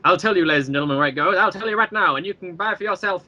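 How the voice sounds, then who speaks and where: Bright, fast-talking man, podcast guest. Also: high-pitched, dull audio. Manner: animated, rambling explainer with mock-showman asides. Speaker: interview guest, creator of a fan web series. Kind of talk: casual, jokey podcast interview.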